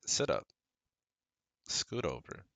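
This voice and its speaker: A man's voice: slow, bright, forceful tone, no room sound. A young man playing a caring, protective best friend and psychology student in a scripted ASMR roleplay, speaking earnestly and reassuringly to the listener. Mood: concerned, gentle. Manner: reassuring, earnest, talks directly to a close friend.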